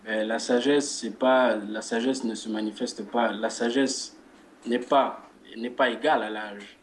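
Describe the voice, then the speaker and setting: A man, a guest who speaks in a measured way and leads a spoken prayer. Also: medium pitch, steady tone, a little hiss or hum. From Democratic Republic of the Congo. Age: 20s. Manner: measured, repeats words while searching for phrasing. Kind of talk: religious interview and spoken prayer.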